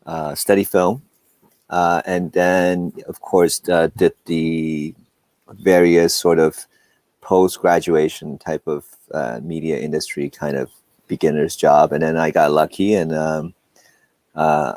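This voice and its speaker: A middle-aged man from Hong Kong, Taiwan and Southern California, telling his story casually as an interview guest, haltingly, repeating words and drifting into asides. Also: a bass voice, level tone, a little mellow, dry recording. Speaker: podcast guest, film producer.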